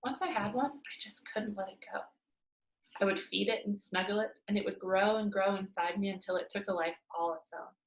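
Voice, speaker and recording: A woman's voice: mid-range voice, fairly even intonation, fairly quick, a little hiss or hum. A woman reading a children's novel aloud expressively, as a storyteller at home. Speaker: read-aloud narrator. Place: indoors at home by a fireplace. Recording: roomy home recording.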